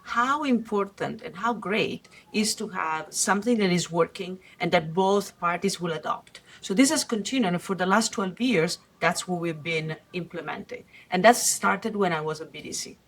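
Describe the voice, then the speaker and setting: A middle-aged woman with a slight Italian accent, from Italy, explaining her career calmly as a guest in a podcast interview, pausing often. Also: on the low side. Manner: measured, explanatory, with frequent "uh" pauses. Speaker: guest investor.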